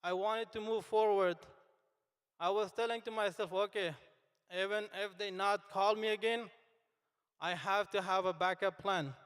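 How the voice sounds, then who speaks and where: A high-voiced young man from Afghanistan, a native Dari speaker, addresses graduates at an unhurried pace and in an earnest, halting manner. His voice is smooth and clear, and it carries a long reverb through a large hall. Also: steady tone, a bit bright. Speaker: commencement speaker. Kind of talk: speech to graduates.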